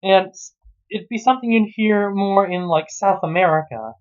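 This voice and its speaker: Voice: mid-range voice, moderate pace. A commentator ranting sarcastically and casually about a story, exasperated and swearing freely, on a dull lo-fi recording. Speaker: host reading and mocking an amateur story. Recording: dull, muffled lo-fi sound.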